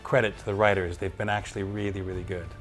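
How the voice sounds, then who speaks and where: A man's voice: deep voice, little pitch movement, fast. Middle-aged man, voice actor, friendly, self-deprecating, faint music. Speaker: voice actor, interview guest. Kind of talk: casual interview chat. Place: backstage room with wooden walls at an awards event.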